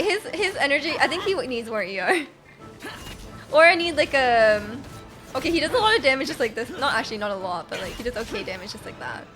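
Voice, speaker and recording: A woman's voice: high voice, fairly bright tone. A woman chatting casually with viewers while she plays a game on a live stream, rambling and repeating herself in a giggly, hesitant way. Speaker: game livestreamer. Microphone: close streaming microphone.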